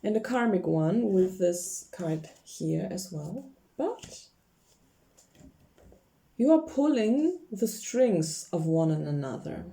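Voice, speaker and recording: A woman's voice: lowish voice, lively intonation, takes it slowly, vocal fry, warm tone. A woman from Poland, chatting casually through a tarot card reading, laughing often and searching for English words. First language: Polish. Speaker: tarot reader.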